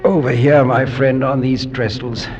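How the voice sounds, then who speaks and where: An actor playing a grief-crazed, morbid gentleman, the last of his line, in theatrical, tremulous 1940s radio horror style. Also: medium pitch, flat delivery, unhurried, music in the background, lightly acted. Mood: mournful, tender. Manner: theatrical, tremulous, swings from whispering to anguished outbursts. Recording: dull lo-fi 1940s radio recording.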